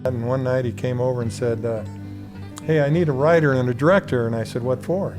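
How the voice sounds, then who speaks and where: A man's voice: medium pitch, fairly quick, music in the background. A middle-aged man being interviewed, reminiscing casually about his own experiences. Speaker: theater owner being interviewed.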